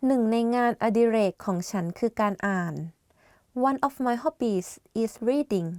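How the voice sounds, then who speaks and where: A woman reads short model phrases for learners. Her pitch is slightly high and each line comes out deliberate and evenly spaced. The recording is dry and close. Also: dark tone, no room sound. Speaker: phrase reader. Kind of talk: slow scripted phrase reading.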